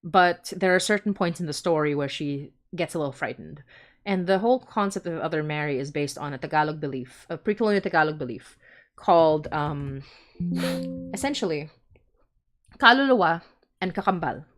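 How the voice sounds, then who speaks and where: A woman's voice: sits low, animated, normal speed. A woman from the Philippines hosting a livestream, chatting informally and thinking aloud as she explains things. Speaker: podcast creator hosting a fundraising livestream. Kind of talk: casual rambling livestream explainer.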